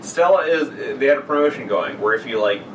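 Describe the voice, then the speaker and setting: A man's voice: mid-range voice, expressive, quick pace. Young man bantering, distant noisy mic. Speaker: podcast co-host. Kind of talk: casual banter and creepypasta reading.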